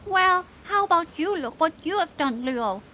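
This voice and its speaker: A woman's voice: extremely high pitch, lively intonation, normal speed, sharp tone, full character voice. A woman playing a feisty, indignant young female character in an audio drama, scolding sarcastically, with noise behind her.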